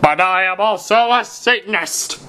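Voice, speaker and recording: A man voicing an angry, lovestruck schoolboy wizard who makes overwrought declarations with dramatic pauses. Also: high-pitched, pushed and bright, slight background noise, pitched above the performer's own voice. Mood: angry defiance.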